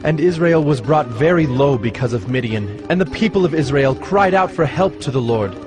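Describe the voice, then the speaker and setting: A male narrator reads scripture in a medium-pitched voice. The delivery is scripted narration, with prominent music running beneath it. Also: animated, moderate pace. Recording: loud music under the voice.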